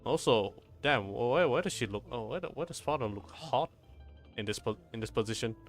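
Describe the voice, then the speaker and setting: A man's voice: mid-range voice, animated, fairly quick. Rambling male game streamer over faint music. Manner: rambling, stammering, sweary. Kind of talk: casual live gameplay commentary.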